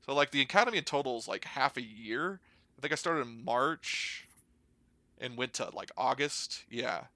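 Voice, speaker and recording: Bright-voiced American man, informal and rambling. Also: mid-range voice, expressive, moderate pace, no room sound. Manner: informal, rambling, hedging with slang. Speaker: podcast guest, air traffic controller. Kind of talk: casual conversational storytelling and explanation.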